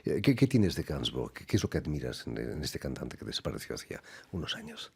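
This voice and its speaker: A man's voice: rumbling low, sing-song, brisk, creaky, a little mellow, husky. A man hosting a radio interview, asking probing, leading questions. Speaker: radio host. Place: radio studio.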